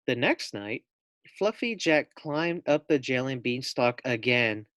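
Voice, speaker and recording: A man reading a children's storybook aloud expressively as a teacher, pausing to put questions to young listeners. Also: mid-range voice, lively intonation, a bit bright, no echo, studio quality.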